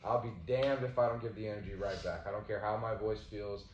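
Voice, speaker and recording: Deep-voiced man, rambling casual talk. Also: steady tone, brisk, a little hiss or hum. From Southwest Florida. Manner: rambling, lots of "like" and "you know". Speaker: ring announcer and co-host. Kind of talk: casual chat. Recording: echoey room, slightly dull sound.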